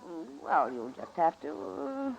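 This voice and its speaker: A woman's voice: lowish voice, big pitch swings, measured pace, creaky, full character voice. An actress playing a defiant, taunting frontier woman, insolent and teasing in a 1950s western radio drama. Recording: dull, noisy vintage radio recording.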